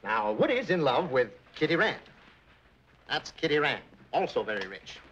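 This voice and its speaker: A man playing an exasperated, boastful middle-aged Hollywood film director in rapid-fire 1940s screwball comedy style. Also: on the high side, big pitch swings, fairly bright tone, slightly rough, highs cut off, heavily acted. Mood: pitching. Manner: blustering, insistent, emphatic. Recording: noisy vintage film soundtrack.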